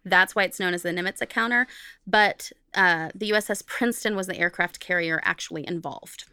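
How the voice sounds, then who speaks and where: Bright-voiced woman co-hosting a casual podcast. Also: fairly low pitch, a little fast, smooth, no room sound. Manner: informal, jokey, spells out and repeats technical terms. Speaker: podcast co-host. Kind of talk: casual podcast talk with reading from notes.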